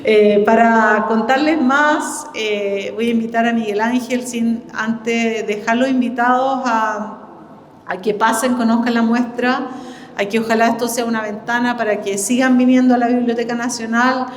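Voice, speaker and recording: Middle-aged Chilean woman, director opening an event, halting informal address, reverberant hall with faint noise. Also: fairly high pitch, fairly even intonation. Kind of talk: informal public welcome speech. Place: indoor exhibition event in a library.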